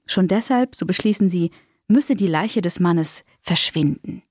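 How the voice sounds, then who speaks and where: A woman's voice: on the low side, lively intonation, a little slow, a little mellow, slight background noise. A woman reading a scripted story summary as a narrator.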